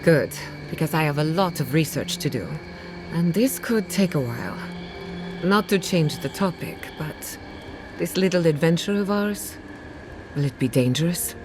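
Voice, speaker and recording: Video game companion: confident female researcher, low register, dry wit, guarded, over music. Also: animated, normal speed. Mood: light, hopeful. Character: driven, wry female scholar, guarded about family loss. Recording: music playing behind the voice.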